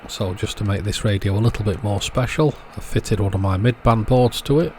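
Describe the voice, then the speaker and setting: A man's voice: fairly low pitch, a little fast, a little mellow, slight background noise. A man giving an informal how-to voice-over in short, matter-of-fact sentences, as a repair channel host.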